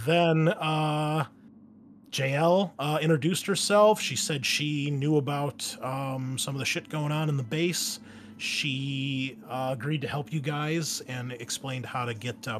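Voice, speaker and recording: A man runs a tabletop game in a casual, rambling voice of middling pitch. He jokes and teases as he recaps for the players. There is some noise on the recording. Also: a bit bright. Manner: rambling, joking, teasing. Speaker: game master and host. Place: indoors at a desk.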